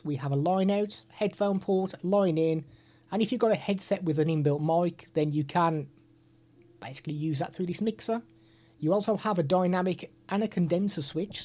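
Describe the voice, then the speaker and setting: A man's voice: high voice, mellow. A man from the United Kingdom with a British accent, hosting a live stream and explaining a product step by step while chatting with viewers.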